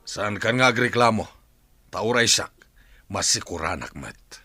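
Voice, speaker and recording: A man's voice: lowish voice, expressive, measured pace, sharp tone, dry recording, exaggerated voice. A man playing a bitter, cursing crime boss in an agitated, complaining way in an audio drama. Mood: bitter, resigned. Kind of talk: radio drama dialogue.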